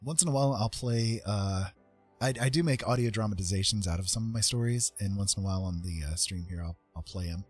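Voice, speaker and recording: A man giving a casual live-stream painting tutorial in an informal, self-deprecating way, with a husky voice. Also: fairly low pitch, fast. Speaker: art streamer and author. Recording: soft guitar music behind voice. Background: guitar music.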